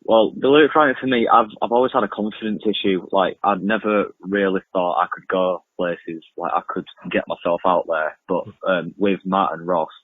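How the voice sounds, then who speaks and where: A man's voice: deep voice, fairly even intonation, normal speed, few pauses, slightly rough. A young man answering casually as a radio interview guest, hesitant and informal, often repeating himself. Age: young adult. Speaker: interview guest, amateur music producer and podcaster. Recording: muffled lo-fi, roomy echoing remote link.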